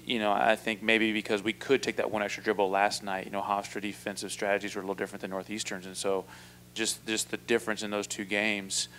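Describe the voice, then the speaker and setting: Male coach, 40s, postgame presser, table mic, low-ish voice with little pitch movement, halting. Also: slight background noise. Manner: measured, flat delivery with many fillers and restarts. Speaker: basketball head coach. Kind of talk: postgame press conference answers. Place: indoor press room. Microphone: table mic in front.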